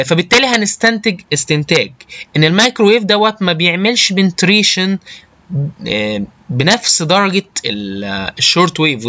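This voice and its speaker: A man with an Egyptian Arabic accent giving an informal technical lecture, halting and often checking that listeners follow. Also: fairly high pitch, pushed and bright, no room sound, muffled recording. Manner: halting, often checks understanding with short tag questions. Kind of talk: informal slide lecture with technical English terms.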